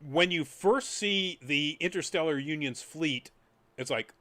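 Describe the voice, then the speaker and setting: A man's voice: on the high side, unhurried, fairly bright tone. A man giving a casual, rambling spoken review as a podcast host, trailing off into asides.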